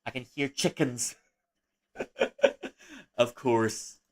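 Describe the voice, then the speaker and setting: A young man from Malaysia chatting casually on a livestream, rambling with frequent restarts and soft laughs. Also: medium pitch, fairly even intonation, drawn-out words.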